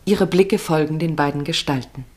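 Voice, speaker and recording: Low-pitched woman narrating a radio play. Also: a little mellow. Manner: measured, descriptive, serious.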